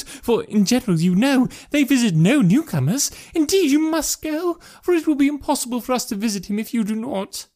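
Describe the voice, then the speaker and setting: A man voicing an excitable, pushy middle-aged English wife in an audiobook, gushing and insistent. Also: very high voice. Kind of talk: audiobook character voice.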